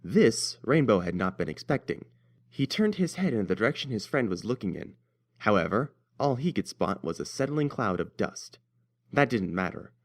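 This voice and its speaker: Low-pitched male story narrator. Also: very animated, moderate pace.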